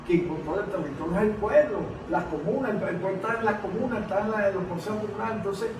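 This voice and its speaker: Halting Venezuelan man in a very echoey room. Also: high-pitched. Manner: halting, repeats words as he builds a point. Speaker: political analyst on a panel. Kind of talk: informal political panel discussion. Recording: very echoey room, distant mic, noisy.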